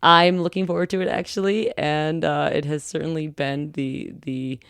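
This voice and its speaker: A woman's voice: low-pitched, steady tone, normal speed, a bit bright, clean tone, no room sound, studio quality. A woman hosting a casual podcast interview, teasing and playful, laughing often. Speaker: podcast host.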